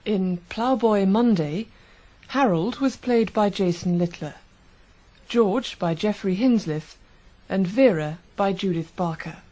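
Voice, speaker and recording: Slow, mellow woman radio announcer. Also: mid-range voice. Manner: measured, formal. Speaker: continuity announcer. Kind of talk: scripted radio cast credits.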